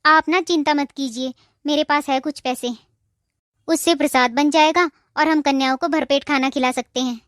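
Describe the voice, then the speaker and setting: A woman's voice: sits high, fairly even intonation, normal speed, no echo, studio quality. A woman playing a patient, devoted young wife in a cartoon moral story, speaking in a reassuring, respectful way. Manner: soft-hearted, reassuring, respectful.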